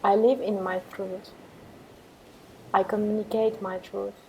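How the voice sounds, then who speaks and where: A woman meditation teacher guiding affirmations in a calm, measured way with pauses between phrases. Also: medium pitch, a little hiss or hum, rough recording. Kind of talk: guided meditation with repeated affirmations.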